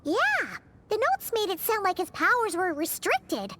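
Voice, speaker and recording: A woman voicing a small, excitable childlike fairy companion in a video game, with squeaky, exclamatory speech. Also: a little slow, slight background noise, exaggerated voice. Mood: curious, puzzled. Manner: exclamatory, talks of herself in third person.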